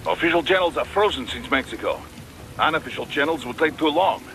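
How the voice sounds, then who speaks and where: Worried Russian military adviser, animated. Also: male voice, a little high, fairly quick, a little hiss or hum. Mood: dismayed, emphatic. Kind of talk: dramatic video game acting.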